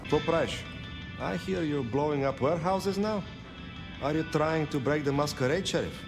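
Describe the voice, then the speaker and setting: A man's voice: a little high, normal speed, vocal fry, over music. An actor playing a suave, amused, courtly vampire man in a video game, with polished, teasing phrasing.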